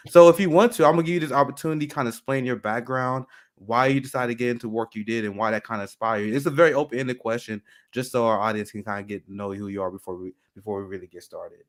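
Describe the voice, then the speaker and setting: A man hosting a live talk show, speaking with many restarts and pauses. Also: medium pitch. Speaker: host.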